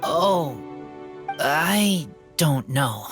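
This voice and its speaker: A woman playing a shy wolf-raised teenage boy in an anime game, speaking tersely in halting, broken sentences. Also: deep voice, animated, heavily acted. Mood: hesitant. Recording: music behind the voice.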